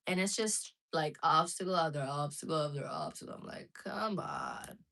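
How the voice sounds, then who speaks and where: A woman's voice: low-pitched, wildly swooping pitch, moderate pace, creaky, sharp tone. A woman live streaming casually to her viewers, chatting frankly and reading out chat messages. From United States.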